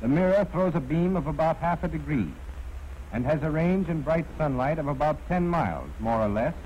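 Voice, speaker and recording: American male narrator, slightly high voice, formal 1940s instructional delivery, faintly noisy vintage film soundtrack. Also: animated, moderate pace, darkish tone, dull audio.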